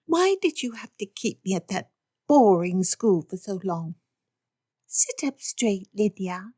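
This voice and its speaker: A woman voicing a spoiled, whiny teenage girl who begs in high, drawn-out pleas. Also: big pitch swings, mellow, no echo, clean studio sound. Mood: whiny pleading. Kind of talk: audiobook character reading.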